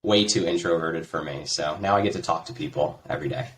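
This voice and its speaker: A man from the United States with a low, deep voice talks through his work in a relaxed, conversational way. He pauses on fillers as he explains. A faint hiss of noise sits under the recording. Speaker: interview guest, tech executive. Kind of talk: conversational interview.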